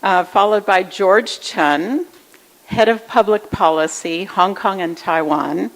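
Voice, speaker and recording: A woman emceeing an awards event, briskly announcing the next presenter. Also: mid-range voice, long reverb tail, low-quality recording. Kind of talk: stage announcements.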